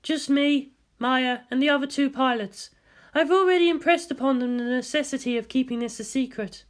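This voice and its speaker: Someone voicing a high-pitched, clinical woman scientist. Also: moderate pace, natural voice. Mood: clinical, matter-of-fact. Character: cool, clinical adult female scientist.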